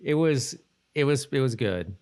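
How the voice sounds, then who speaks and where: A man co-hosting a podcast in casual discussion, easygoing and halting as he works out his thoughts. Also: mid-range voice, animated, a little mellow, clear, resonant tone. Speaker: podcast co-host.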